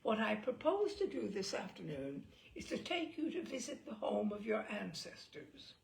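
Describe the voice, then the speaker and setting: A jaunty old English lord in a comic storybook, played by a woman. He is grand and mischievous, rolling his words with relish in a lively, swooping delivery. The voice sits fairly high and has a creaky edge. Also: moderate pace. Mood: jaunty, nostalgic. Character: jaunty, mischievous sixty-ish English lord, an incorrigible uncle.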